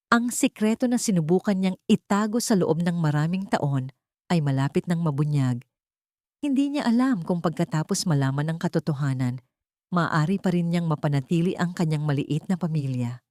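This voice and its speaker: A woman's voice: deep voice, a little mellow, no echo, studio quality. A woman narrating a scripted story in a dramatic audiobook style.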